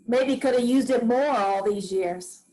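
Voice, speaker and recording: A woman's voice: on the high side, measured pace, warm tone, lightly acted, rough recording. A woman reading a wry old farm widow. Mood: dry. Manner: dry, wry, plainspoken rural talk. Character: Grams, weary old farm widow, dry and stubborn. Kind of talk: staged play reading.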